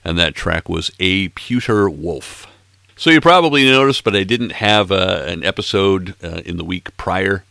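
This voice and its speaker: A man in his late sixties hosts a music podcast, chatting casually between tracks with playful, self-mocking asides. His voice sits very low with a gravelly edge and a bright, forceful tone, a little hoarse. The recording is dry and close. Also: animated, unhurried, no echo. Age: 60s. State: slightly hoarse, froggy throat.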